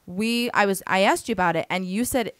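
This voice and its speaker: Playful woman co-host, smooth clear voice. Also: medium pitch, a bit bright, no echo. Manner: teasing, quick back-and-forth, playful. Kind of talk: casual banter.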